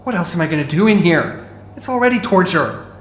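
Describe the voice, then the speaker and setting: A man's voice: high-pitched, big pitch swings, brisk, fairly bright tone. A man playing a desperate, defiant detainee who argues in a confrontational, impatient way in an audio drama. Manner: confrontational, pointed. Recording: echoey room with faint noise.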